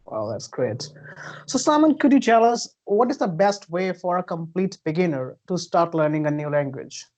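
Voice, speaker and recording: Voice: mid-range voice, sing-song, moderate pace, darkish tone, rough recording. An interview host who asks polite, formally worded questions and answers with short affirmations.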